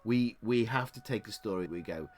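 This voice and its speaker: A man's voice: lowish voice, moderate pace, a little mellow. A middle-aged man from England co-hosting a podcast, rambling in casual chat with frequent restarts and easy laughter. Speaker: podcast co-host.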